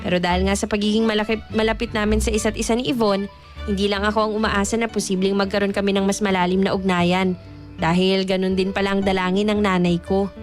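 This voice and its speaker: An actor playing an earnest young Filipino man who narrates his own story in a measured, confessional radio drama style. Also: high-pitched, level tone, natural voice. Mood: confiding, earnest. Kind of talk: scripted first-person radio drama narration. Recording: music bed under narration.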